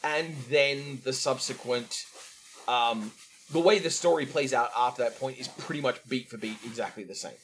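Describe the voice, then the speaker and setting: A man's voice: medium pitch, normal speed, fairly bright tone, slight background noise. Chatty man discussing a film. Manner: chatty, enthusiastic, acts out quotes. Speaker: podcast co-host.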